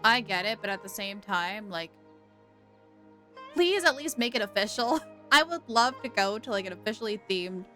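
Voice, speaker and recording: A woman chatting casually on a game livestream, reacting in an animated, exclamatory way. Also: medium pitch, moderate pace. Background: game music.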